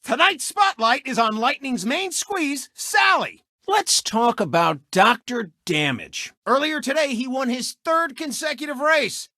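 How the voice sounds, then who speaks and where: Brash, swooping cartoon race car sportscaster. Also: male voice, very high-pitched, sing-song, unhurried, few pauses, sharp tone, no echo, exaggerated voice. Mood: showy commentary. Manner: cocky, smug, showboating, mocking. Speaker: racing show host. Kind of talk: animated video game character commentary and taunts.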